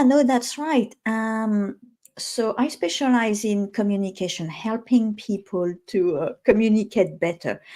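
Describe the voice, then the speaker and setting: A woman from France with a French accent, a native French speaker talking in English as a guest, thoughtful and pausing often to find the right words. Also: mid-range voice, darkish tone. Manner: thoughtful, pauses to search for words. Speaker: guest, communication specialist. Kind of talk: interview conversation.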